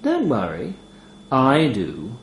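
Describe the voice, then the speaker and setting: A man voicing a demon raven in a story, a courteous, sly bargainer with chatty, reassuring patter and quiet menace. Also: mid-range voice, huge pitch swings, slow pace, a little hiss or hum, exaggerated voice. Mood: polite menace. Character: bird demon toll raven, courteous, sly, chillingly matter-of-fact bargainer. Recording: roomy, echoey voice recording.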